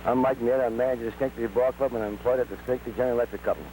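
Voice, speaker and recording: A man's voice: mid-range voice, fairly even intonation, very fast, warm tone. A man stiffly introducing himself as a team manager on an old newsreel recording. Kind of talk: stiff self-introduction to camera. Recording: humming old newsreel sound, noisy.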